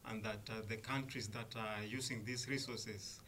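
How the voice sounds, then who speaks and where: A man's voice: fairly low pitch, steady tone, normal speed, bright, forceful tone, a little hiss or hum. A man from Kenya, a freelance journalist, giving a hesitant, filler-heavy wish.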